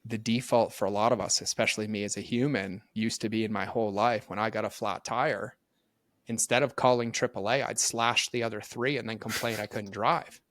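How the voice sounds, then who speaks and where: A man's voice: lowish voice, fairly even intonation, brisk, a bit bright, dry recording. A middle-aged man talking earnestly and animatedly as a podcast guest, full of "like" fillers. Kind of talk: casual faith conversation.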